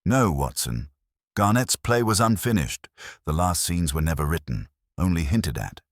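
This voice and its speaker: A man voicing a keen, brooding master detective in an audio story, explaining in a deliberate, theatrical manner. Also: low voice, expressive, no room sound, studio quality. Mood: intent, revelatory.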